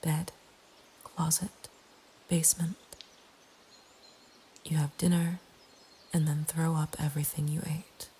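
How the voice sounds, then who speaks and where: A woman narrating a scripted horror story in a breathy ASMR whisper, with a hushed, deliberate delivery. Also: deep voice, level tone. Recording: faint ambient background noise. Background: soft nature ambience.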